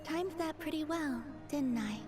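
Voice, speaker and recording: A woman's voice: sits high, unhurried, music in the background. An actress playing a self-assured, caring young woman adventurer in an anime game cutscene. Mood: pleased, reassuring.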